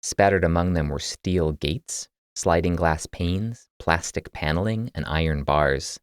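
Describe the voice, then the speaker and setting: A man's voice: low-pitched, a little slow, clean tone, dry recording, clean studio sound. A man reading scripted fiction as an audiobook narrator.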